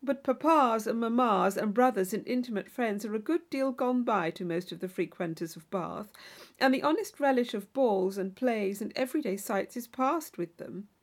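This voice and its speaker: A woman voicing a witty, urbane young gentleman in a classic novel audiobook, with a playful, mock-solemn, teasing manner. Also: fairly high pitch, expressive, moderate pace. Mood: amused, gallant.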